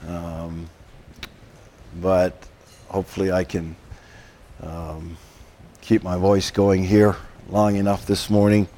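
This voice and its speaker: A man preaching a Sunday sermon, hoarse and croaky from a cold, speaking haltingly with frequent ums and throat clearing. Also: sits low, flat delivery, mellow, a little hiss or hum.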